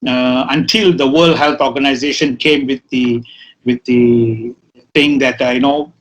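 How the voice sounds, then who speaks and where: A man's voice: mid-range voice, steady tone, a little slow, poor audio. A middle-aged man hosting a talk show interview, asking questions with many fillers and restarts. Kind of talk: casual interview.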